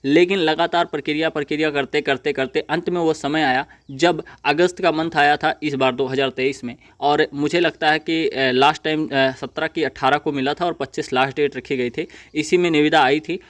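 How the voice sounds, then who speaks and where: A young man gives an informal update to viewers, explaining in a halting, repetitive way. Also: fairly high pitch, steady tone, dry recording. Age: young adult. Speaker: exam update video presenter.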